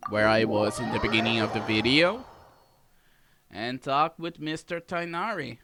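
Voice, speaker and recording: A man giving casual, playful let's-play commentary on a video game, often repeating himself. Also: medium pitch. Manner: repetitive, playful. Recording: game music behind the voice.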